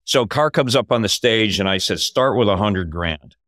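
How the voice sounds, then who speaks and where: An older man, a podcast host, telling a story in casual talk over a video call from a home office, his voice climbing when he gets excited. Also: lowish voice, moderate pace, dry recording, clean studio sound.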